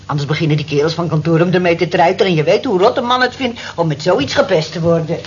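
A woman's voice: on the low side, fast talker, slightly rough. An actress in a radio drama playing a down-to-earth, motherly woman of nearly sixty with a frank manner. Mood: cautioning. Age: 50s. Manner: frank, matter-of-fact, motherly. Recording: dull lo-fi old radio recording, hiss.

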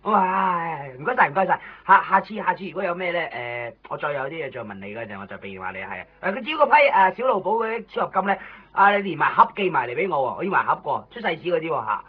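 Tinny radio, raspy excitable man. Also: a little high, quick pace, few pauses. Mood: chatty, flustered. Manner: excitable, stammering, repetitive. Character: excitable, reluctant shopkeeper friend, scatterbrained but helpful. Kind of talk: Cantonese radio drama acting. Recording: tinny, band-limited 1990s radio recording, faint music.

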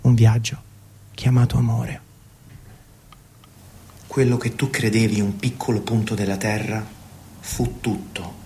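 A man in his thirties reading a scripted, literary radio narration in a measured, poetic way. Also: on the low side, darkish tone, slight background noise. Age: 30s. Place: radio broadcast.

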